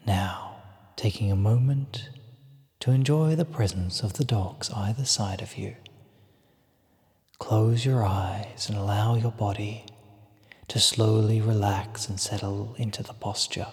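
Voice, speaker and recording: A man with a fairly low voice guides a relaxation session very slowly. His delivery is hushed and soothing, with measured instructions that trail into breath at the ends of phrases. A long reverb hangs over every word. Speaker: guided meditation narrator. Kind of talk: slow guided relaxation script.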